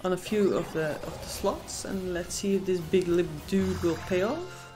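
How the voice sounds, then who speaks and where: A woman's voice: lowish voice, lively intonation, normal speed, music in the background. A young Dutch woman with a Dutch accent, co-hosting a live casino stream, chatting with viewers and reacting to the game. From Netherlands. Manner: chatty, reads chat aloud, squeals at wins. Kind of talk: live stream chat and commentary.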